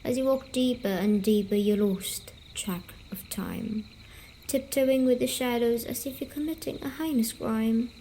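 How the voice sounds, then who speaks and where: A woman playing a gentle, teasing sleep paralysis demon, telling stories softly and soothingly in an ASMR roleplay. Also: fairly high pitch, a little hiss or hum, voice pushed up, close to an everyday voice, low-quality recording. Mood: eerie, hushed. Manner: slow, soft, deliberate, comforting.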